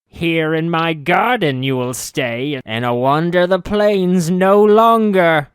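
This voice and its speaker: A man's voice: a little high, slow pace, clear, resonant tone, dry recording, studio quality, pitched above the performer's own voice. A man doing an ancient crooning hag-witch. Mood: imperious. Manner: crooning, cackling, imperious.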